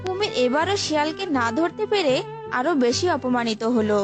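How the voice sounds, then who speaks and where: High-pitched woman storyteller. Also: animated, normal speed. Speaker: storyteller, narrator. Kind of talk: scripted children's story narration. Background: prominent music.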